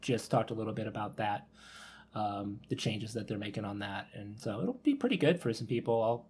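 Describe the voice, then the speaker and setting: A man's voice: fairly low pitch, animated, a little fast, a little mellow, slight background noise. A man hosting a podcast in a casual chat, feeling his way through sentences with tentative phrasing. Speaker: podcast host.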